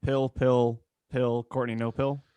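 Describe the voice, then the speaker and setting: A man's voice: mid-range voice, level tone, slow pace, smooth, no room sound, muffled recording. A man hosting a casual comedic panel chat, teasing his co-hosts and steering the discussion. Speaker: podcast host.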